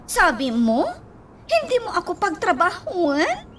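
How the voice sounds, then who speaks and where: A woman's voice: very high-pitched, huge pitch swings, a little slow, a bit bright, recorded from a distance, slight background noise, full character voice. A woman playing a lazy, demanding young wife in a radio drama, whining and bossing. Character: bossy, lazy, demanding young wife who wants pampering. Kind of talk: Filipino radio drama acting.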